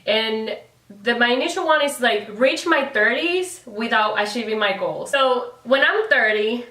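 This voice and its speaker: Female guest, twenties, bright voice, earnest and rambling, roomy echo. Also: fairly high pitch. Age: 20s. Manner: earnest, rambling, with frequent restarts. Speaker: guest, fashion blogger. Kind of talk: casual chat. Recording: echoey room, mic at a distance.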